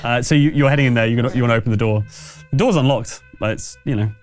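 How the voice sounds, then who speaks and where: A man's voice: medium pitch, very fast. Guy game mastering a tabletop rpg, chatty stop-start narration, bit of music behind. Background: background music.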